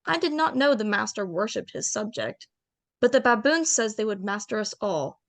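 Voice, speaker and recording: A woman voices a heartfelt female bear addressing a council of animals in a homemade radio play. Her earnest, pleading voice rides a lively, wide-ranging pitch and drops into gravelly low notes. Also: moderate pace, close to an everyday voice. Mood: impassioned. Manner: earnest, pleading speech-making. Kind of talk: amateur radio drama table read.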